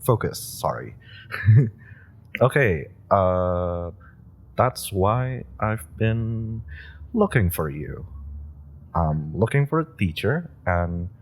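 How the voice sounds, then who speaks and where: A man's voice: sits low, big pitch swings, takes it slowly, long pauses, full character voice. A man playing a nervous, eager young superhero rookie who rambles, stammers and laughs nervously. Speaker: audio roleplay performer. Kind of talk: audio roleplay drama.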